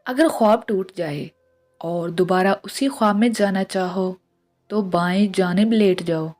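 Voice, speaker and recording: A woman narrates short scripted tips at a markedly slow pace. Her voice sits at an average pitch for a woman, and her delivery is measured and instructional. Music plays behind the voice-over. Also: darkish tone. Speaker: narrator of household and health tips.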